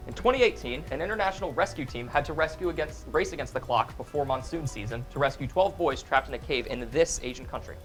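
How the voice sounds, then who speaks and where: A young man hosts a student quiz show in a college TV studio, his voice sitting a bit high. He keeps things upbeat, laughing along and tripping now and then over the clues. Faint music plays underneath. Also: animated, fast, hardly any pauses, fairly bright tone. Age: young adult. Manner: upbeat, chuckles often, reads clues with slips. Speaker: game show host. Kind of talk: college game show hosting.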